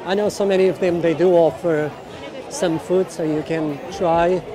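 A man's voice: on the high side, fairly even intonation, moderate pace. A middle-aged man giving casual walking tour commentary in an enthusiastic way, often exclaiming and repeating his phrases. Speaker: vlogger and walking tour guide. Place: busy outdoor street market. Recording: outdoor crowd noise, background music. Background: music and crowd chatter.

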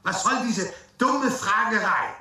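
An impatient male general in a radio play. His voice is high and forceful, with a brusque, dismissive manner, in a very echoey room. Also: measured pace, heavily acted. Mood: irritated. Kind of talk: radio drama dialogue. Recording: very echoey, distant from the microphone.

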